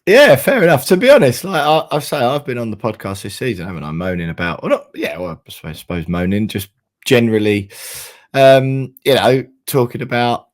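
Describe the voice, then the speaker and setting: A man with a croaky, hoarse voice, rambling and correcting himself in a casual football podcast chat. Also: medium pitch, lively intonation, brisk. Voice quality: rambling, self-correcting, frequent "you know". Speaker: podcast co-host.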